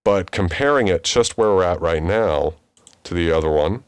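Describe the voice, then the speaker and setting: A man in his thirties with a deep voice, casually explaining vocal mixing in a home studio tutorial. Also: normal speed, a crowd in the background. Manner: relaxed, conversational explaining with fillers. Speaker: music producer teaching mixing. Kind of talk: screen-recorded tutorial.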